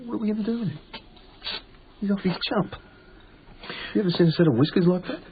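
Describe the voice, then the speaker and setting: An actor with a British accent playing a blunt, jittery, exasperated tunnel worker in a naturalistic radio drama. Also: male voice, high voice, fast talker, close to an everyday voice. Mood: baffled, nervous. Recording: tinny, noisy radio drama broadcast copy.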